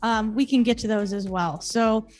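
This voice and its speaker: A woman's voice: fairly high pitch, normal speed, darkish tone, soft background music. A woman hosting a masterclass, introducing a guest in a hesitant way with many ums. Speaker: host of masterclass.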